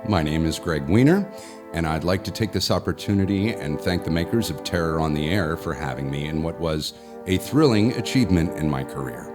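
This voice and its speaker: A man's voice: a bass voice, animated, a little fast, music in the background. A man giving a scripted sign-off as an actor addressing listeners.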